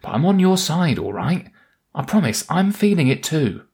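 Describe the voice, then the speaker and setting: A man's voice: on the high side, big pitch swings, moderate pace, a little mellow, slightly rough. A man, hosting scripted satirical comedy in a defensive, exasperated manner. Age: 30s. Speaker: satirical news podcast host and writer.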